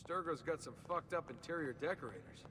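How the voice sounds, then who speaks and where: A man's voice: fairly high pitch, brisk, natural voice, rough recording. An actor playing a wry, wisecracking young man with a curious, sarcastic manner. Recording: loud game soundtrack music behind.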